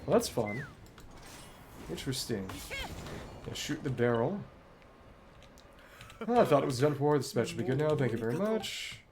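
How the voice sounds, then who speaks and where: A man's voice: medium pitch, big pitch swings, normal speed, long pauses, crowd noise. A man giving casual gameplay commentary as a streamer, thinking aloud and reading game text out as he plays. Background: game music.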